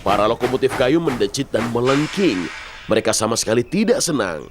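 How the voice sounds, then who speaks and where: A man's voice: mid-range voice, lively intonation, fairly quick. A man narrating a children's cartoon as a dramatic storyteller. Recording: music playing behind the voice. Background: loud music, vehicle sounds.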